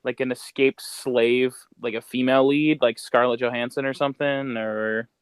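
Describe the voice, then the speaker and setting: Man, mid-range pitch, slangy, rambling podcast co-host, dry sound. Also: fairly even intonation, normal speed, clear, resonant tone, no room sound. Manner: slangy, rambling, thinking out loud. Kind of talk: casual podcast chat.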